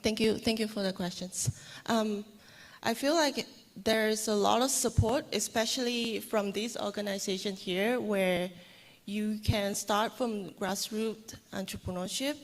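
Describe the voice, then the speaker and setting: A woman's voice: medium pitch, fairly even intonation, measured pace. Woman panelist in a reverberant hall. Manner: casual, many fillers like "um" and "like". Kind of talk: panel discussion answer.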